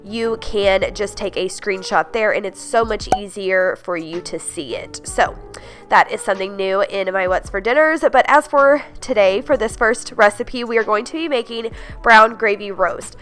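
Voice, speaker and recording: A woman's voice: mid-range voice, normal speed, fairly bright tone, faint music behind. Stuffed-up woman gushing about recipes. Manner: upbeat, gushing, many "like"s and "you guys". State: congested, stuffed-up voice. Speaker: home cooking vlogger. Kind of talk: casual chatty recipe voice-over.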